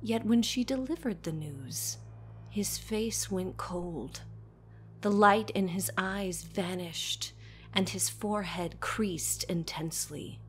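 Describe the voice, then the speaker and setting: A woman narrating a scripted horror story as a storyteller, dramatic and deliberate, dropping at times toward a whisper. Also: medium pitch, a little hiss or hum.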